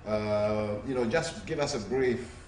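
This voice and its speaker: Male interviewer, low voice, roomy far-off sound. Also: unhurried, a little mellow. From Zambia. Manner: rambling, filler-heavy questions. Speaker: TV show host. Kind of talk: interview questions. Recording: roomy, distant mic, faint noise.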